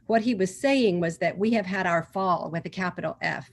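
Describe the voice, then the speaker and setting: A woman from Oklahoma with a fairly low voice, speaking thoughtfully over a computer mic on a video call. She restarts her sentences, drops in "um" fillers, and at times reads a passage aloud in a steadier tone. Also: normal speed. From Oklahoma, USA. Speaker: reader taking part in a book discussion. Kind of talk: conversational discussion with a passage read aloud.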